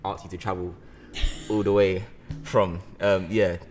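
A man's voice: sits low, flat delivery, a little slow, darkish tone, soft background music. A British man in his twenties hosting a friendly, casual interview, effusive and repeating words for emphasis. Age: 20s. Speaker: podcast host and interviewer. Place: bright loft-style room indoors, on a sofa. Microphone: handheld microphone.

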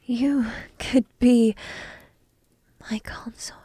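A woman playing a young dragon princess in an ASMR roleplay, coy, teasing and lovestruck, half-whispering in a soft, intimate, breathy way. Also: fairly high pitch, fairly even intonation, drawn-out words, no echo, heavily acted. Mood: earnest, hopeful.

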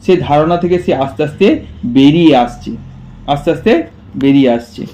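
Higher-pitched man teaching. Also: lively intonation, normal speed, dark tone. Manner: asks rhetorical questions and answers them; repeats and paraphrases lines while explaining. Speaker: school literature teacher. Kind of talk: explanatory story lesson for students.

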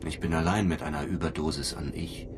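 A man's voice: very deep voice, fairly even intonation, fairly quick, noisy background. A man playing a lonely, brooding astronaut in a radio drama, speaking in a pensive, understated way. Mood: weary, wry. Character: lonely, brooding male astronaut on a long flight. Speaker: actor in a radio play. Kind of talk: intimate radio drama dialogue.

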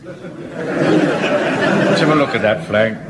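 An actor playing a pompous, blustering middle-aged English Home Guard captain in a radio sitcom, with clipped speech. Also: male voice, mid-range voice, expressive, drawn-out words, a loud crowd. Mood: exasperated. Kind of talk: 1970s British radio sitcom acting. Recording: old radio comedy with background hiss.

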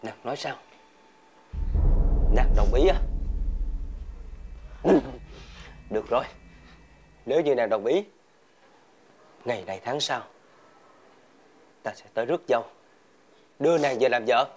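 A man's voice: medium pitch, normal speed, long pauses, background noise, lightly acted. A man playing a stern, imperious toad spirit in a fairy-tale television drama, with a Southern Vietnamese accent. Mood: commanding. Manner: imperious, commanding. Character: stern toad spirit demanding his promised bride.

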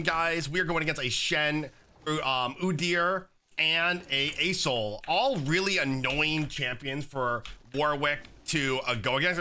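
A young man with a slightly raspy voice from being sick, chatting casually while commenting on his own gameplay at a desk setup. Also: fairly high pitch, pushed and bright, slight background noise. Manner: casual, chatty, many fillers like "like" and "um". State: slightly raspy, recovering from illness. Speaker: gaming YouTuber. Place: home gaming setup, indoors.

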